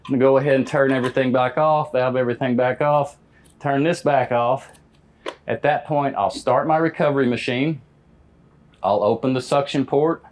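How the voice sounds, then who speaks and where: A man's voice: medium pitch, moderate pace, a little mellow. An American man in his fifties explains a procedure casually and practically as a trade instructor, in a plain-spoken, folksy way. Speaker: HVAC technician instructor. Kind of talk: hands-on demonstration, casual explanation. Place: indoor parts warehouse. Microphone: lapel mic.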